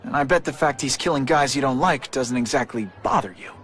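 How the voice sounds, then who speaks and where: A man's voice: mid-range voice, fairly quick, fairly bright tone, faint music behind, lightly acted. A man playing a young, wisecracking but earnest superhero in a video game, quippy and informal. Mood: probing. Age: young adult. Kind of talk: video game cutscene acting and hero monologue. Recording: clear noise behind the voice.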